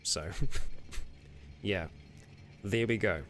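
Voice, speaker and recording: A man's voice: low voice, drawn-out words, fairly bright tone, slight background noise. A man hosting a casual livestream and answering viewer comments, rambling a little with fillers, self-corrections and frequent laughs. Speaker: streamer host.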